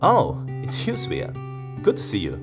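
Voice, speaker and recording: A man's voice: fairly low pitch, huge pitch swings, measured pace. A man voicing a small, friendly young male wolf musician in a visual novel, casual and a little hesitant. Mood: pleased. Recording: music behind the voice.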